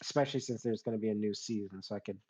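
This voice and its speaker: A man's voice: on the low side, very fast, a little mellow, husky. A man co-hosting a podcast, talking casually and conversationally and often putting questions to the others. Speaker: podcast co-host. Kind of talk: casual group chat.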